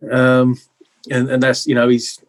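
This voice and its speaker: A middle-aged man talks casually as a guest in an interview. His pitch stays fairly flat, and his delivery is low-key and hesitant, piecing thoughts together as he speaks. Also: measured pace. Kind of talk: casual chat.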